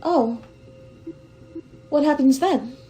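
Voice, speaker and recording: Woman acting girlish young stowaway, extremely high voice, naive, pleading, radio play, soft music behind. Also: drawn-out words, low-quality recording. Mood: innocent, uneasy. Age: young adult. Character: trusting, childlike young female stowaway facing death.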